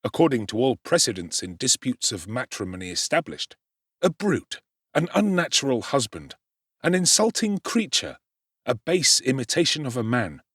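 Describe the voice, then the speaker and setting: A man narrating a classic novel as a dramatic audiobook performance, in an expressive, theatrical way. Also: mid-range voice, moderate pace, breathy edge, no echo, clean studio sound.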